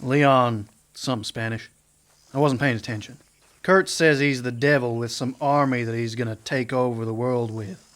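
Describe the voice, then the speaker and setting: A man's voice: mid-range voice, fairly even intonation, normal speed, a bit bright, natural voice. A man playing a laconic, wry, no-nonsense older US marshal in a western radio serial. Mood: persuasive, casual. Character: dry, weary, no-nonsense older Wild West marshal.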